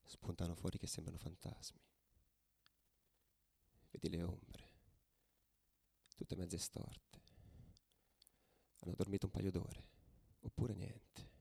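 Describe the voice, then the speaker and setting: A hardened football ultra telling his story in a radio play. His voice is low and full of gravelly creak. He talks in streetwise, colloquial phrases with a brooding intensity, as a theatrical monologue. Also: little pitch movement, slow, long pauses, mellow, full character voice. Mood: grim, confiding. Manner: colloquial, brooding, swinging between murmur and agitated outburst.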